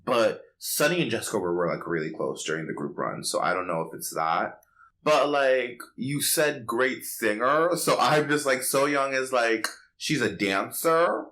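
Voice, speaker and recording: A man's voice: medium pitch, animated, normal speed, bright, forceful tone. A man co-hosting a casual pop culture podcast, chatty and opinionated, peppering his talk with "like". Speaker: podcast co-host.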